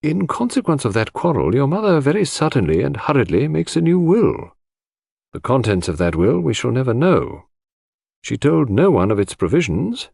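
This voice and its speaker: A man voicing a dry, suspicious male lawyer who speaks formally and deliberately in an audiobook mystery. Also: mid-range voice, very animated, gravelly, darkish tone, breathy edge, no room sound, voice pushed up, exaggerated voice. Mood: pointed, grave.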